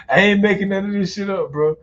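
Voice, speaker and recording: A man hosts a casual live stream and tells his stories in a loose, profane way, breaking into laughter often. His voice sits a little high. The audio is muffled, with a faint hum of background noise behind him. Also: fairly bright tone. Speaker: YouTuber and stream host.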